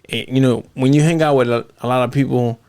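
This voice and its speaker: Joking podcast host with a mixed accent. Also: male voice, medium pitch, steady tone, fairly quick, darkish tone, clean tone, no room sound. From California. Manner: joking, profane, repeats "okay, okay". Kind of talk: casual chat.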